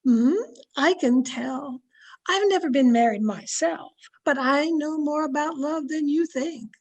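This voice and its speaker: Woman playing a high-voiced, meddlesome older aunt. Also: unhurried, a little mellow. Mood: knowing, insistent. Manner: chatty, knowing, insistent. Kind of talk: old-time radio drama acting.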